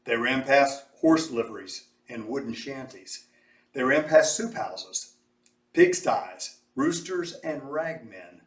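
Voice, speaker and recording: A man's voice: medium pitch, slow pace. Echoey man reading a storybook aloud. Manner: animated, emphatic storytelling. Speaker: storybook reader. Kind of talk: dramatic read-aloud of a children's story. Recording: echoey room, distant mic.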